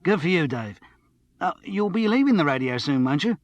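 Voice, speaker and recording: A man's voice: on the high side, very animated, normal speed, dry recording, muffled recording, voice pushed up. A man voicing a chatty, ingratiating pub barman in an audiobook story. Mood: fawning. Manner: eager, ingratiating.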